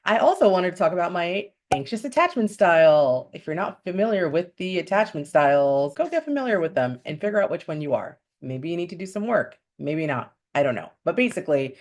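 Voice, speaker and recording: Low-voiced chatty young woman. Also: big pitch swings, fairly quick. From upstate New York. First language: Spanish. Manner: chatty, upbeat, candid, with fillers. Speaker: vlogger. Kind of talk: casual chat to camera.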